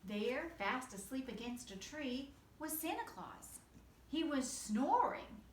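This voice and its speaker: A woman's voice: high voice, very animated, takes it slowly. A woman teacher reading a children's storybook aloud with expression. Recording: echoey room, distant mic, faint noise.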